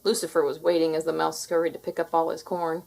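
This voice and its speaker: A woman's voice: low-pitched, completely flat delivery, fast, gravelly, a little mellow. A woman narrating a children's fairy tale as a scripted read-aloud.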